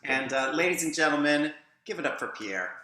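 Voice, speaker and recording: A man hosting a show, introducing a guest performer with quick, upbeat praise. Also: on the high side, lively intonation, bright, forceful tone, reverberant. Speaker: host.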